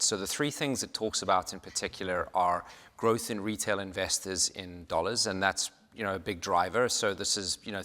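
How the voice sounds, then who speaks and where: A man from South Africa speaking on a conference panel, explaining points in a measured way with frequent fillers. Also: low voice, pushed and bright. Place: conference hall. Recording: hall reverb.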